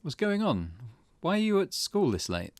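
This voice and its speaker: A man's voice: high voice, wildly swooping pitch, normal speed, clear, resonant tone, no room sound, clean studio sound, voice pushed up. A man playing a desperate young male visual novel hero, speaking with urgent intensity.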